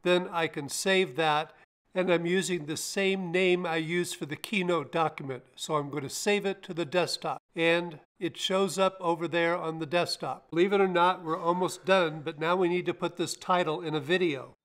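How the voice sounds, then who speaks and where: An older man's slightly high voice leads the viewer through a screen-recorded tutorial. He is calm and methodical and explains each click in turn as he does it. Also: moderate pace. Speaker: tech tutorial host.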